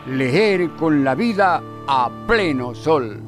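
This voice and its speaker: A man's voice: a little high, expressive, slow. A man reading a scripted radio promo for an event as an announcer. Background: faint music.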